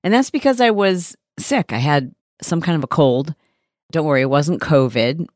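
A woman with a low, slightly hoarse voice hosts her own podcast, talking candidly and with emphasis straight to the listener. The sound is close and dry, with no room around it. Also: animated, moderate pace, dry recording. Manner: open, conversational, emphatic. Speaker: podcast host. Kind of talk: candid solo podcast monologue.

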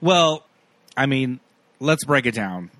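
A man's voice: mid-range voice, lively intonation, takes it slowly, a bit bright, no room sound. An American man co-hosting a podcast, chatting casually about film in a playful, emphatic way. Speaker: podcast co-host.

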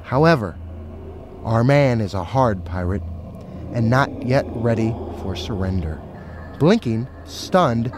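A man with a mid-pitched voice performs a dramatic scripted story. Loud music runs behind his narration.

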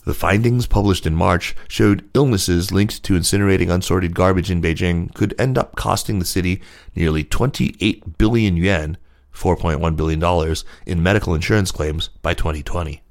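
Low-pitched man reading business news. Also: moderate pace. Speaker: podcast host and news reader. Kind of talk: scripted news narration.

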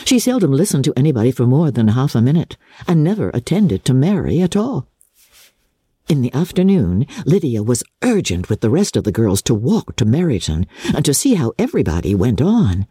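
A woman reading classic fiction as an audiobook narrator, in a measured, expressive dramatic style. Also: extremely low pitch, breathy edge, dry recording, clean studio sound.

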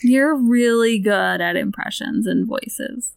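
A woman's voice: fairly high pitch, slow, gravelly, no room sound, studio quality. A woman co-hosting a podcast, chatting casually about films in a playful, sweary way. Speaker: film podcast co-host.

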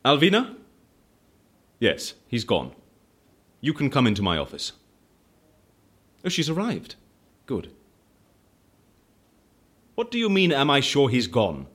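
Wry, theatrical boss for audio drama; mock-genteel, eccentric, very lively intonation. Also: male voice, medium pitch, slow, long pauses, breathy edge, exaggerated voice. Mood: brisk, amused. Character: suave, eccentric, dice-obeying male office boss, wry and theatrical. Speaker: lead character in an audio drama.

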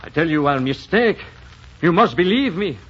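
A man's voice: on the high side, lively intonation, moderate pace, husky. An actor playing an anxious French-Canadian woodsman in broken, pleading English in a 1940s radio drama. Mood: pleading, earnest. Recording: muffled 1940s radio broadcast.

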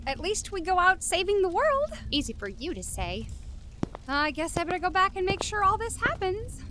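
Very high, plucky whiskered mole. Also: female voice, very animated, normal speed, pushed and bright, slight background noise, heavily acted. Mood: resigned, brave. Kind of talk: audio drama acting.

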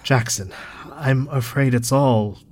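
A mid-pitched, grave male voice. a resolute leader of a moonbase resistance, speaking formally and with measured eloquence, in audio drama style. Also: darkish tone. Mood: grave resolve.

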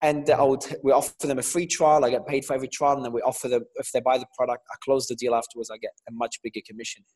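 A man's voice: medium pitch, flat delivery, racing, a little mellow, poor audio. A man from Lebanon with an Australian accent, earnestly telling his story as a guest with frequent fillers. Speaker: guest entrepreneur. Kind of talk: interview answers.

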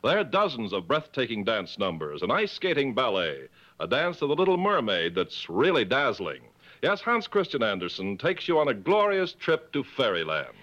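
Lively male vintage radio announcer. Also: mid-range voice, moderate pace. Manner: polished, promotional. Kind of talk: 1950s scripted radio announcing and ad copy. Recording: muffled 1950s radio broadcast.

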